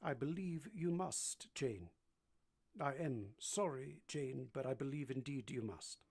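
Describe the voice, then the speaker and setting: Brooding master of the house, played by an actor: commanding, teasing, ardent. Formal, theatrical delivery for a period radio romance. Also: male voice, medium pitch, lively intonation, measured pace, darkish tone, husky. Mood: brisk, commanding. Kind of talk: vintage radio drama acting.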